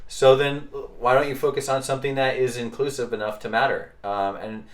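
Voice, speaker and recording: A man's voice: medium pitch, flat delivery, brisk. Podcast host, male, casual talker with rhetorical questions and fillers. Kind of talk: casual discussion.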